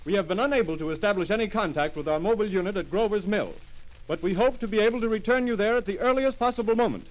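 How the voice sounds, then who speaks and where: A man playing a high-voiced urgent radio announcer. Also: quick pace, natural voice. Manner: crisp announcer delivery. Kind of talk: 1930s radio drama acting. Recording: scratchy 1930s radio recording.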